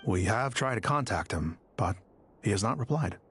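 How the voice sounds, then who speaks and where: A man's voice: lowish voice, animated, normal speed, over loud music, natural voice. A man playing a polite, dutiful soldier in a video game, speaking in a formal, orderly and earnest way. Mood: earnest, measured. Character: polite, dutiful male soldier reporting on a case.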